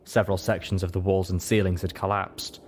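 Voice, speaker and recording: Male story narrator, low register, scripted first-person reading with faint noise behind. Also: little pitch movement, a little fast. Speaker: narrator of a fan fiction reading.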